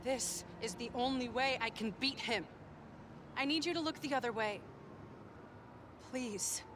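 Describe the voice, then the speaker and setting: A woman's voice: on the high side, unhurried, background noise, natural voice. An actress playing a stubborn, fierce young woman who speaks bluntly and wryly. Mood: tense. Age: young adult. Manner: blunt, confrontational, wry. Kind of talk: video game cutscene acting. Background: game score music.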